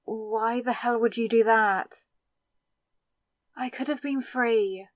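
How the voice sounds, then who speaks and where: Casting: actress as freshly turned female vampire for audio roleplay. Slightly high voice, unhurried delivery, defiant and exasperated. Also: dry recording, dull audio. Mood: incredulous, upset. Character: newly turned young woman vampire, sarcastic, rattled, defiant. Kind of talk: scripted ASMR audio roleplay.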